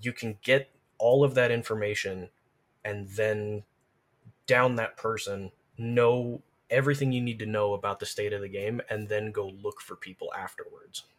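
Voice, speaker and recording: Guy with a kinda low voice, casual gamer chat, breaks stuff down in detail. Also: steady tone, normal speed. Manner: detailed, analytical explaining. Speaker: podcast guest, gamer. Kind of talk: casual explanatory game chat.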